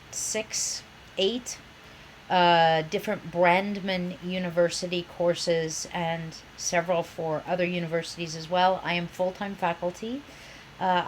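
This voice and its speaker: A woman professor with a head cold talks through her course introduction, her voice a little nasal and somewhat low. She sounds chatty and enthusiastic. A faint hiss sits under the recording. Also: rough recording. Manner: chatty, enthusiastic, self-described geek.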